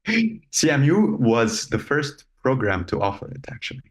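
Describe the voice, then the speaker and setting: A man, speaking as an interview guest in a measured, thoughtful way with frequent pauses. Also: mid-range voice, very animated, husky. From Lebanon. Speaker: guest, robotics company founder. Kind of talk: casual interview talk.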